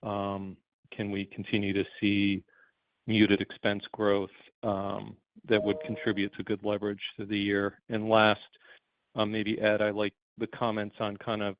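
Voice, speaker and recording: Monotone male analyst on a phone line. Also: lowish voice, measured pace. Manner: hesitant, many fillers. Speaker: analyst on an earnings call. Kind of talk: questions on a conference call.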